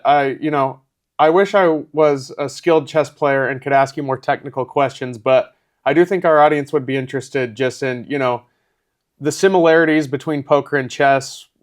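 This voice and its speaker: Man asking loose interview questions. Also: medium pitch. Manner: friendly, rambling questions with fillers. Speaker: podcast host.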